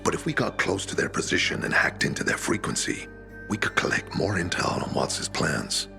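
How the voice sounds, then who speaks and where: Old gravelly radio rebel in a game, super low crackly voice, measured and persuasive. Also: male voice, sing-song, exaggerated voice. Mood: earnest, persuasive. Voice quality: gravelly, very deep. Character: weathered older man, persuasive rebel radio broadcaster. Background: music.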